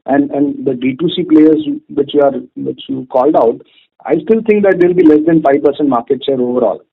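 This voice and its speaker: Male analyst on an earnings call, mid-range voice, halting and wordy, muffled telephone sound. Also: medium pitch, vocal fry, breathy edge. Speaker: financial analyst asking questions. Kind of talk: business question-and-answer. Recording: narrow muffled phone conference line.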